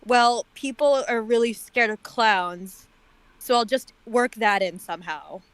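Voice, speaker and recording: A woman's voice: fairly high pitch, unhurried, clean tone, dry recording. A woman chatting casually as a commentator, with a dry, sarcastic way of talking. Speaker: guest commentator on a story reading. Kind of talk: casual chat.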